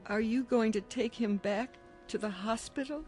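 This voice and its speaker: A woman's voice: a little high, normal speed, darkish tone, soft background music, natural voice. An actress playing a worried middle-aged mother in a radio drama. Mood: anxious.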